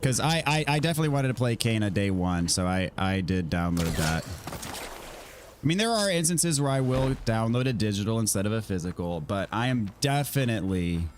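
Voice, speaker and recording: An American man with a fairly low voice, slightly raspy from a scratchy throat. He talks as a game streamer in a laid-back, friendly way, casually answering his viewers as he plays. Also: sing-song, normal speed. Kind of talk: casual livestream chat answering viewers while gaming.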